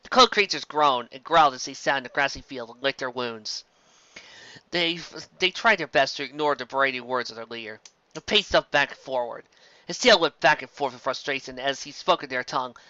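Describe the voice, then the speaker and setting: A man reading fan fiction aloud as an amateur narrator, expressive and occasionally stumbling over words. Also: medium pitch, brisk, no room sound, dull audio.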